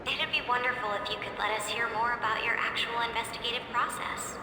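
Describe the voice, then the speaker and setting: A woman's voice: a little high, fairly even intonation, fast, noisy background, lightly acted. A woman playing an earnest, incisive young student interviewer in a game, formal, probing and insistent. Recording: echoey hall reverb with music behind.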